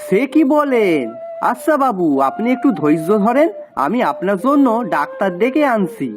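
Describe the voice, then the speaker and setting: Woman voicing an eager, lively young servant lad. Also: mid-range voice, moderate pace, music in the background, close to an everyday voice. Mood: concerned, respectful. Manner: fussing, animated, rustic dialect speech. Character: devoted, chatty young male servant Pocha, rustic and eager. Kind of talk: cartoon voice acting.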